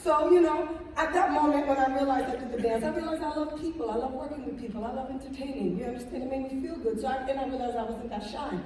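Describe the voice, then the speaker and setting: A middle-aged woman telling her story on stage as a motivational speaker, animated, tagging her lines with "right?". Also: high-pitched, fast, few pauses, slight background noise. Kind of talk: storytelling talk on stage with slides. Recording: echoey hall from far off.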